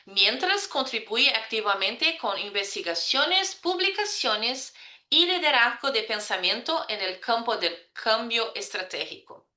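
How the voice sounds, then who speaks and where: A woman with a bright, clear voice set a little high hosts a podcast. She reads her welcome in an upbeat, measured way, then moves into interview questions. Her voice rings in a noticeably echoey room. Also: expressive. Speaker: podcast host. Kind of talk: scripted intro, then interviewing.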